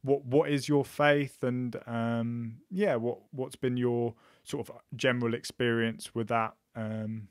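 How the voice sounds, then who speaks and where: A man hosts a casual podcast conversation. His voice sits at an ordinary pitch, and he talks in a halting, friendly way, often restarting his sentences. The sound is dry and close, with no room around it. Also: level tone, clean tone, no room sound. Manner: hesitant, many ums and restarts. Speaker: podcast host.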